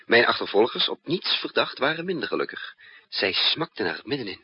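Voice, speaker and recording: Man playing bold hunted double agent, husky, emphatic, lively pitch, muffled old radio drama recording. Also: brisk, close to an everyday voice. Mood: narrating. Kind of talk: 1960s radio drama acting with first-person narration. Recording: dull, noisy, roomless 1960s radio recording.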